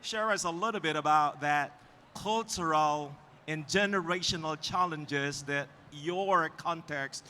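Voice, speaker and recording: A man moderating a church leadership panel, speaking haltingly with many fillers. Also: on the high side, pushed and bright, slight background noise.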